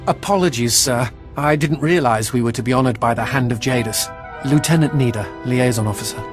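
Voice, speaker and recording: An actor playing a stiff, formal, deferential military lieutenant in a video game. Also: male voice, medium pitch, fairly quick, lightly acted. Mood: formal, wary. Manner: formal, clipped. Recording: clear music behind the voice.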